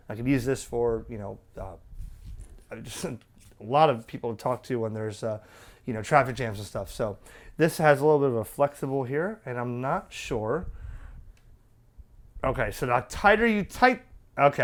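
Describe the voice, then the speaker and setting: A man giving an unscripted product demo as a radio hobbyist, rambling in a folksy way with bits of trucker slang. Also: medium pitch. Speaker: radio hobbyist reviewing gear for viewers.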